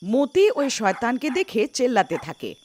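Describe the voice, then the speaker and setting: A woman's voice: on the high side, very animated, moderate pace, a little mellow, slight background noise. A woman narrating a scripted cartoon folk tale as a storyteller.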